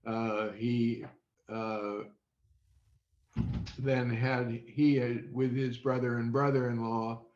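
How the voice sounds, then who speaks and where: An American man in his eighties recollecting in an interview, speaking in a halting, deliberate way. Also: medium pitch, level tone, a little mellow. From Indiana, United States. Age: 80s. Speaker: interview guest. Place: indoors on a video call. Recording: dull roomy video call audio.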